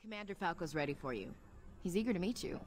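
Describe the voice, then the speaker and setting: An actress playing a brisk, confident female military officer in a video game. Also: lowish voice, lively intonation, a little mellow, close to an everyday voice. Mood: brisk, assured.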